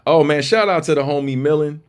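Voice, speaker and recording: A man with a deep voice hosting a casual livestream chat, laid-back and slangy, full of shout-outs. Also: a little fast. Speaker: livestream host.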